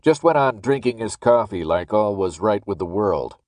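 A man's voice: on the low side, expressive, normal speed, a little mellow, dry recording, natural voice. A man voicing a callous, sneering masked guard in an audiobook, barking threats and gloating. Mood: scornful. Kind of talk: audiobook character acting.

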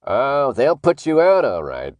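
A man performs a callous masked guard in an audiobook story. He barks and gloats with a bullying sneer. The pitch moves around in an animated way, and the sound is close and dry. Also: moderate pace, no room sound, lightly acted. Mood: gloating. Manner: barking threats and gloating. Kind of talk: audiobook character acting.

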